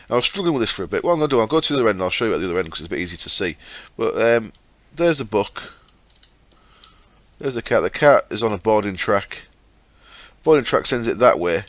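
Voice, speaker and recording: A man hosting a gaming channel, explaining his setup step by step in a chatty way with fillers, his throat sore and croaky. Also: mid-range voice, dry recording. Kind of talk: casual game commentary.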